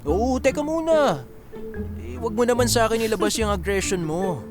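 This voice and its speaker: A man's voice: sits high, very animated, moderate pace, over music. A man acting a brave, teasing young student hero in a Filipino radio drama, casual, joking and coaxing.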